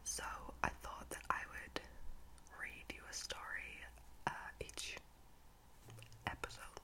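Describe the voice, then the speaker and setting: A young woman doing whispered ASMR, soft, informal and unhurried, speaking very close to the microphone in a breathy, unvoiced voice. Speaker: ASMR video creator. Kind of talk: whispered ASMR, casual chat and storybook reading.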